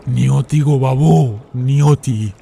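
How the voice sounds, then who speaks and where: A man's voice: medium pitch, slow pace, vocal fry, mellow, breathy edge, soft background music, full character voice. A man playing a fatalistic rustic night boatman in a horror audio story, deferential and terse in a resigned way.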